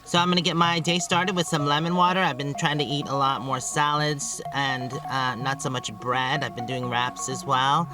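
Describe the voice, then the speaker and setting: A man's voice: medium pitch, flat delivery, normal speed, few pauses, music in the background. A man chatting casually for a travel vlog in an informal, playful way. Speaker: travel vlogger.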